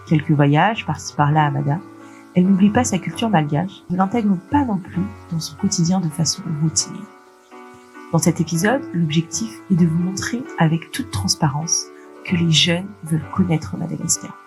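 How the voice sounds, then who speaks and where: A woman hosting a podcast, reading a clear intro and then asking chatty questions with fillers. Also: on the low side, a little mellow, poor audio. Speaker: podcast host. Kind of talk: scripted intro, then interviewing.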